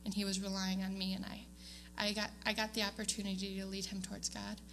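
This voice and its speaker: A young woman talks to a church congregation, stopping often on ums and restarting her sentences. Her voice is bright and pushes forward. A faint hum sits under the recording. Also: mid-range voice, flat delivery, quick pace. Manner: hesitant, many ums, laughs often. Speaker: student giving award speech. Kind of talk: casual speech to a church congregation. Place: church sanctuary.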